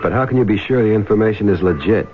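A very low male voice on a tinny vintage radio recording with music behind it. An actor plays a hardy, easygoing diver who speaks in a dry, laconic way, as in an old adventure serial. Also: quick pace, close to an everyday voice. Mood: wary, probing. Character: tough, easygoing salvage diver and narrator, game for risk. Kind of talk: old-time radio drama acting.

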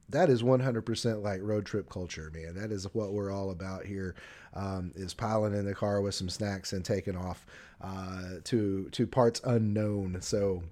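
A man's voice: on the low side, dark tone. An American man hosting a casual interview podcast, talking in a rambling, hesitant way. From Arkansas, United States. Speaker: podcast host.

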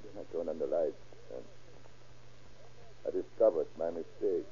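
An actor playing a cornered, guilt-ridden murder suspect in a 1930s radio crime drama, halting and stammering as he pleads. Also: sits low, dark tone, slight background noise. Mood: haunted confession. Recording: muffled, tinny old-time radio recording.

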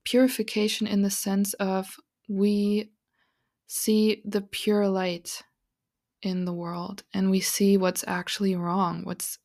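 A woman with a raspy, gravelly voice hosts a spiritual podcast at a middling pitch. She talks in an earnest, encouraging way and rambles loosely with fillers. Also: completely flat delivery, slow pace, darkish tone. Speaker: podcast host and psychic reader. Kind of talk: casual spiritual podcast monologue.

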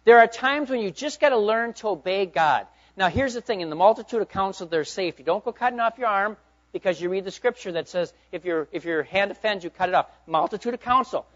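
High-voiced preaching man, lo-fi. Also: expressive, a little fast. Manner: emphatic preaching, swinging between loud urging and hushed asides. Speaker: pastor. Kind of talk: sermon. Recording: dull lo-fi sound.

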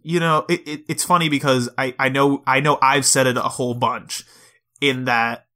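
Man, bright crisp-edged tone, medium pitch, podcast host, casual gaming talk, measured explanations. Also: fairly even intonation. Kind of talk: casual video game discussion.

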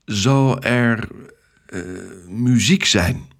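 A man's voice: medium pitch, drawn-out words. A man playing a nervous, awkward, polite man starting a new job in a children's story, hesitant and earnest.

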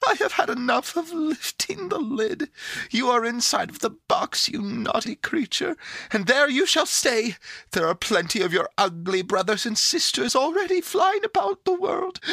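Man voicing an excitable high-pitched storybook girl. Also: a little slow, no room sound, heavily acted. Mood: scolding, upset. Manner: emphatic, emotional, exclaiming. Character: young girl, tearful, willful, excitable storybook child. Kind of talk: storybook character voice.

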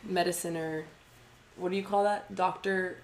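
A woman's voice: fairly low pitch, steady tone, moderate pace, a crowd in the background, low-quality recording. A woman in her early twenties co-hosting a podcast, chatting casually and rambling with lots of fillers and giggles. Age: 20s. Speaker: podcast co-host. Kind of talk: casual chat.

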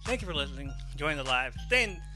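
A man hosting a podcast, giving relaxed, rambling shout-outs to listeners and reading out their names in a voice he says people find soothing. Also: fairly high pitch, quick pace, music in the background. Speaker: podcast host.

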